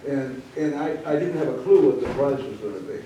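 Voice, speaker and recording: A man telling a folksy story and moderating a town candidates night, with halting restarts. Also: medium pitch, warm tone, a little hiss or hum. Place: town meeting hall. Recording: very echoey hall, distant mic.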